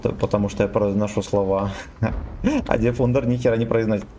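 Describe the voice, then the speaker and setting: A man's voice: lowish voice, steady tone, fairly quick, dark tone, a little hiss or hum. A young man streaming a tank game, joking with chat and swearing freely. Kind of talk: casual game stream chatter.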